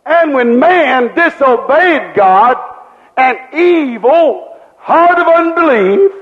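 Man, preacher, very high voice, rhetorical questions, long reverb of a hall. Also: expressive, takes it slowly, phone-quality sound. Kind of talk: sermon.

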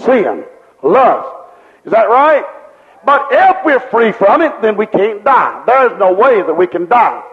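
A man's voice: high-pitched, sing-song, measured pace. A man preaching a sermon with fervent rhetorical questions and repeated refrains. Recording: dull lo-fi recording with long hall reverb.

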